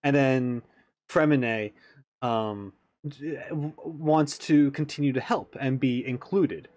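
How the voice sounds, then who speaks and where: A man giving a casual, animated reaction commentary, thinking aloud as he analyzes like a game fan. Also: medium pitch, slow.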